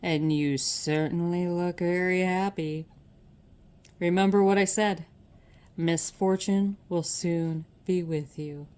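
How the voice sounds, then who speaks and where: A woman voicing a gloomy, menacing dark cloud in a storybook, sighing ominously. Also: on the low side, steady tone, slow pace, voice pushed down. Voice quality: husky, murmuring.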